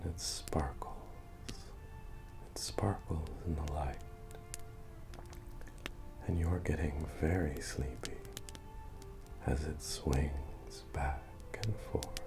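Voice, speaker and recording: A man playing a friendly, humble medieval fantasy innkeeper in a soft, breathy, soothing ASMR roleplay voice. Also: fairly even intonation, exaggerated voice. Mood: hushed, hypnotic. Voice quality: deep, breathy, often near a whisper. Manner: slow, soothing, gently repetitive. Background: music.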